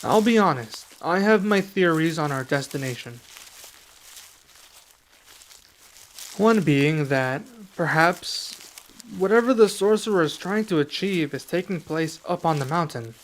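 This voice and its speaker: A young man playing a serious, guarded dragon adventurer in an ASMR roleplay, speaking softly and slowly with pauses. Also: on the high side, animated, slight background noise, lightly acted. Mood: earnest. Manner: soft-spoken, measured, with pauses.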